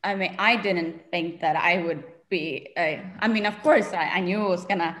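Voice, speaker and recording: A woman's voice: on the low side, normal speed, fairly bright tone. A woman from Sweden with a Swedish accent, chatting casually as a guest in an interview and laughing often.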